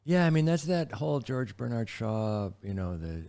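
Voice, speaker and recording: A man's voice: mid-range voice, very animated, moderate pace, vocal fry, dark tone. A man from Wisconsin hosting a podcast, curious and asking long strings of questions in casual chat. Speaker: podcast host.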